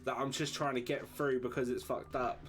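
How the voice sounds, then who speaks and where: A man's voice: medium pitch, little pitch movement, normal speed, a bit bright, a little hiss or hum. A man streaming a video game live, chatting casually, swearing freely and laughing often. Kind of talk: casual live gameplay commentary. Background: game music.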